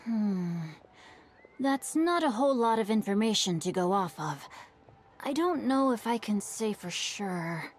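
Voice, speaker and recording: A woman voicing a courteous, well-read young male swordsman who tells an old tale in a measured way, in video game dialogue. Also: medium pitch, animated. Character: bookish young male swordsman, polite and thoughtful.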